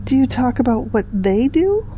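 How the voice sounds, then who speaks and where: A woman plays a devout, caring mother in an audio drama. She is patient and encouraging, with a mellow voice pitched a bit high. The recording carries slight noise. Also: big pitch swings, normal speed, poor audio. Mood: gently questioning. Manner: gentle, encouraging, questioning. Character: patient, devout, reassuring middle-aged mother.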